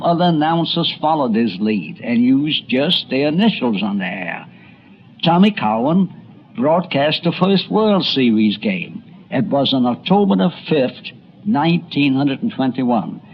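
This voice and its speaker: A man's voice: medium pitch, big pitch swings, measured pace, slight background noise. An American man narrating a scripted documentary in an emphatic storytelling manner. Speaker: documentary narrator and radio veteran. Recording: slightly dull recording over music.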